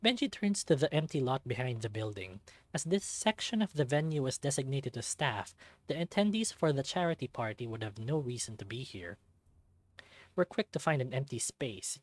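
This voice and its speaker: A man reading a visual novel aloud as expressive first-person scripted narration. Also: medium pitch, normal speed.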